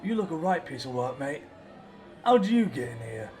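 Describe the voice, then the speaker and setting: A man playing a sarcastic, rough commoner in an audio drama, mocking and incredulous. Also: medium pitch, sing-song, moderate pace, over music. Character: sarcastic, foul-mouthed rough commoner in a hall.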